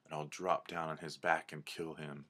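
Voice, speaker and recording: Storybook great cat, played by a man: female, stealthy, confident, deep and hushed. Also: little pitch movement, normal speed, full character voice. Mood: stealthy confidence.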